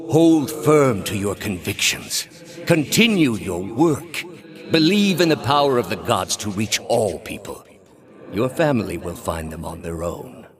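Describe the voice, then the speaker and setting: A solemn older high priest for a video game. The voice is low-pitched and grave. He speaks in measured, formal phrases like a devout preacher, with the calm command of a zealot. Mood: firm, persuasive. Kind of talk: dramatic video game character dialogue.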